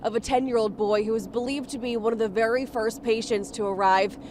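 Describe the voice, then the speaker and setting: A woman's voice: on the high side, fairly even intonation, brisk. A woman giving a live field report as a news reporter. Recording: faint outdoor traffic noise.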